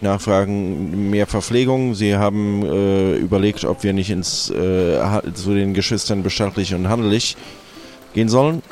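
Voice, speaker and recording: A man voicing a nervous, deferential pirate companion in an improvised audio adventure, halting and stammering. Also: on the low side, darkish tone, natural voice. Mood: nervous, hesitant.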